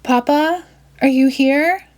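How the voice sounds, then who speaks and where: A young storybook heroine acted by a female narrator, devoted and determined. Her voice sits high and sounds earnest. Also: takes it slowly, a little mellow. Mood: worried. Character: lovely young bookish woman, devoted and determined daughter.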